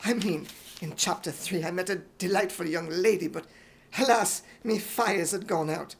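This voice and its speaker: A man's voice: sits high, moderate pace, gravelly, a little hiss or hum, voice pushed down. A man voicing a blustering, scheming old retired army major in an imitation British accent for radio comedy. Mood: aggrieved.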